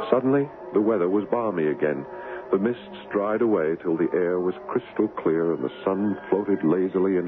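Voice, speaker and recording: Casting: wry American husband and narrator, deep register, radio drama, narrow hissy vintage broadcast sound. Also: male voice. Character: American husband, wry, affectionate, haunted narrator of his tale. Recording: muffled, noisy 1970s radio broadcast.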